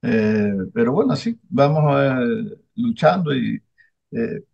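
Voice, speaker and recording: An older man hosts the interview with a gravelly, husky voice. His speech is halting and full of fillers. The video call audio comes through dull and lo-fi. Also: mid-range voice, animated. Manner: halting, many restarts and fillers. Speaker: interviewer, newspaper publisher. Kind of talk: casual interview chat.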